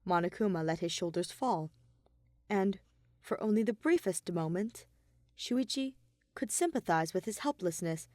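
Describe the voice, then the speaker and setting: Woman reading a story out loud, really animated intonation, dry sound with no room. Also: mid-range voice, moderate pace, no echo. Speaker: audio fiction narrator. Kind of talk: scripted story narration.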